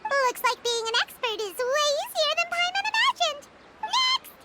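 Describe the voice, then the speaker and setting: A woman voicing a small, excitable, childlike female fairy companion in a video game, perky and emphatic, speaking of herself by name. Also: very high voice, moderate pace, very noisy. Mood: flustered, bossy. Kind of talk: animated video game voice acting.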